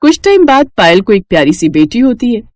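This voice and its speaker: A woman's voice: fairly high pitch, big pitch swings, a little fast, a little mellow, no echo, clean studio sound. A woman storyteller reading a scripted moral tale as narration.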